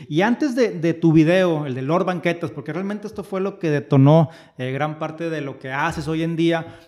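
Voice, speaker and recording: A man's voice: sits high, a little mellow. A man from hosting a podcast interview, upbeat and encouraging as he introduces and questions his guest. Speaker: podcast host.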